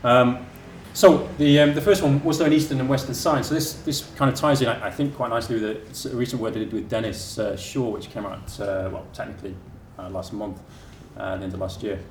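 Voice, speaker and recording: A man's voice: mid-range voice, steady tone. Man presenting in an echoey hall. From England. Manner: halting, many fillers and restarts. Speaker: academic speaker. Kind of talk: conference presentation. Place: lecture room. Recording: echoey room from far off with faint noise.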